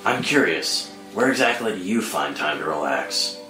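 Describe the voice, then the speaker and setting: A man playing a brooding, guarded vigilante in a screenplay table read, speaking in an understated, measured way. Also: low voice, animated, sharp tone. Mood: guarded, wry. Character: Bruce, brooding guarded adult male vigilante softening in love. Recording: roomy echo under dramatic score music.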